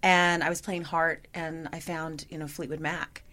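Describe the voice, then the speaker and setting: A woman singer in a conversational interview, with a gravelly voice and a chatty manner full of "you know". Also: deep voice, flat delivery, normal speed, bright, forceful tone.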